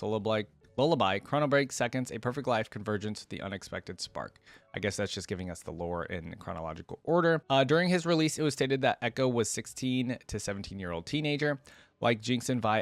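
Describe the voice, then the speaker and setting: A man's voice: on the low side, no echo. A man hosting a reaction channel, reading aloud and adding casual, conversational commentary. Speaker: host of a game lore reaction channel.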